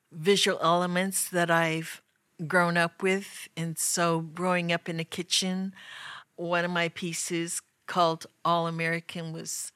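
A woman artist talks about her work in an interview, thoughtful and unhurried, with frequent pauses. Her tone stays low and fairly flat, and the recording is dry and close. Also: clear, resonant tone, no echo. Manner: slow, thoughtful, pausing often. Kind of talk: reflective interview talk.

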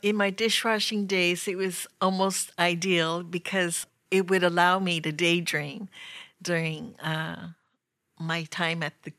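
Slow-spoken, flat-toned woman artist. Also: on the low side, dry recording, clean studio sound. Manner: slow, thoughtful, pausing often. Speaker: artist interviewee. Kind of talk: reflective interview talk.

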